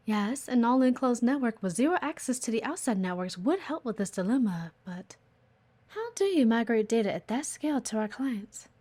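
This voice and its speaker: Woman acting a haughty, high-voiced female office executive. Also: warm tone. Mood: approving, teasing. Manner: teasing, haughty, theatrical, sighing. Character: sharp, demanding, flirty female office executive, condescending yet playful. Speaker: voice actor in an audio roleplay. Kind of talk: intimate audio roleplay acting.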